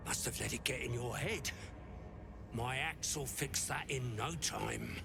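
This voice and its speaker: A blunt, belligerent male fantasy warrior mocks and threatens. His mid-pitched voice is gravelly and swings dramatically, with game music behind it. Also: huge pitch swings, unhurried, full character voice. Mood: hostile. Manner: blunt, aggressive, mocking. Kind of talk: fantasy game character acting.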